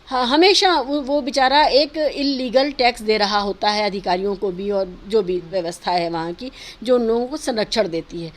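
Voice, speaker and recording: A woman's voice: mid-range voice, moderate pace, few pauses. A woman giving earnest, emphatic opinions in an interview, arguing her points and mixing in English words. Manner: emphatic, argumentative, mixes in English words and phrases. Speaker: interviewee giving social and political commentary.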